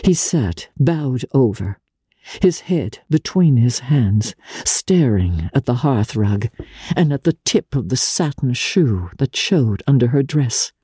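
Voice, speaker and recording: A woman with a low, mellow voice gives a dramatic literary reading. She is unhurried and deliberate, shaping each phrase with expression, in a close, dry recording with a soft, slightly husky edge. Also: lively intonation, no room sound. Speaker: audiobook narrator.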